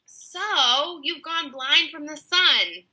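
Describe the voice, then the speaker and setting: A woman's voice: sits high, very slow, sharp tone, pitched above the performer's own voice, heavily acted, poor audio. A woman voicing an indignant, exasperated young boy in a children's story, spluttering in protest.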